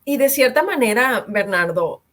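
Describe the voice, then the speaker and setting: A woman who advises small businesses, calmly explaining ideas in a casual podcast conversation. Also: a little high, moderate pace. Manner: calm and explanatory, with some false starts.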